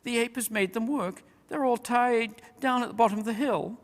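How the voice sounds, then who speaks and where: A man's voice: extremely high pitch, moderate pace, pitched above the performer's own voice. A man voicing tiny, eager, squealing mice and little woodland animals in a storybook reading. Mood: earnest. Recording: long hall-like reverb.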